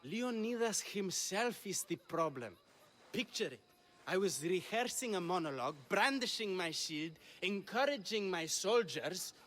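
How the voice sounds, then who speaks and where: A pompous man of the stage for a game cutscene, with a high, bright voice. He declaims floridly and swoops across his range, vain and theatrical. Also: sing-song, a little slow, full character voice. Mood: grandiose pleading. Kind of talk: video game cutscene acting.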